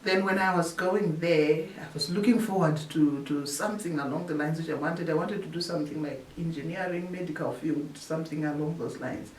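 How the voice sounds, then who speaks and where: A woman's voice: low voice, a little mellow. Lady researcher, keeps restarting her sentences, mic kinda far off, faint hiss. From Zimbabwe. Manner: halting, often restarts phrases. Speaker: researcher telling her story. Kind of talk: casual interview storytelling. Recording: echoey room, distant mic, faint hiss.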